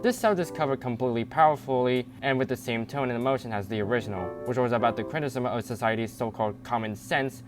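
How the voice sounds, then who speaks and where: Young male narrator for scripted commentary, medium-pitched voice over a clear music bed. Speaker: video essay narrator.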